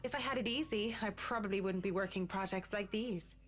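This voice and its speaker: A woman's voice: mid-range voice, fairly quick, natural voice. A woman playing a polite, guarded young working woman in a visual novel, reserved and businesslike. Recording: game dialogue over music.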